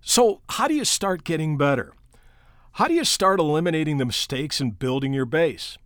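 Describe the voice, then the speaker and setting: Animated American male sports podcast host. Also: on the high side, moderate pace, no room sound, clean studio sound. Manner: emphatic, coaching, addresses the listener directly. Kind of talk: prepared instructional podcast narration with personal anecdotes.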